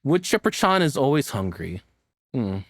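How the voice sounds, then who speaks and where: A man's voice: medium pitch, moderate pace, no room sound. A man giving casual, rambling reaction commentary as a streamer, swearing freely, on a close mic. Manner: profane, rambling, expressive.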